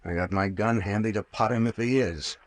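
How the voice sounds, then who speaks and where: An actor playing a brash, sardonic captain and investigator with folksy slang in an old-time radio drama. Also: male voice, lowish voice, brisk, close to an everyday voice. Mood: bold, cocky. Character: gruff wisecracking sea captain playing armed investigator.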